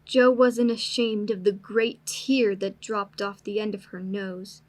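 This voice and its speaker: A woman's voice: mid-range voice, level tone, a little slow. A woman narrator reading a classic family story in a scripted storybook style.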